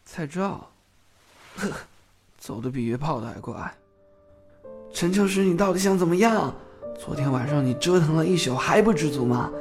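A high-pitched young man acted by a man in an audio drama, playful and stubborn by temperament. His voice sits well above most men's, with music under it. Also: animated, moderate pace, pauses often. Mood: bitter, self-mocking.

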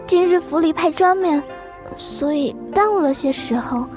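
A woman's voice: very high voice, moderate pace, over music. A woman playing a modest, naive young servant girl, speaking deferentially in a Chinese period audio drama. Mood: polite.

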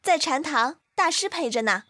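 女声优演的音调很高的天真小姑娘. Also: female voice, high voice, lively intonation, moderate pace, pushed and bright, dry recording. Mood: eager. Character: sweet, innocent, excitable young girl. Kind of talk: Chinese costume audio drama acting.

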